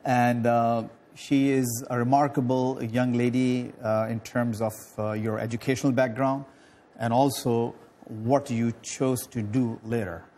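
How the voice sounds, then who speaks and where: A man from Pakistan hosting a studio interview show, speaking haltingly with many fillers and restarts. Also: medium pitch, little pitch movement, mellow. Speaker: TV talk show host.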